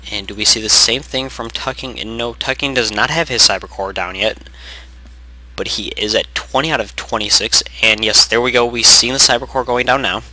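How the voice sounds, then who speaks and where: A young man doing casual play-by-play commentary on a strategy game, losing his voice from sickness, rambling and correcting himself, clearing his throat often. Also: medium pitch, normal speed, pushed and bright, rough recording. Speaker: game caster.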